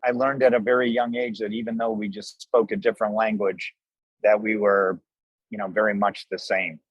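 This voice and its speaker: American guy in his 60s, lowish voice, folksy casual interview stories, dry room sound. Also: flat delivery, normal speed, breathy edge, no room sound, lo-fi sound. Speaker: podcast guest, restaurant co-owner.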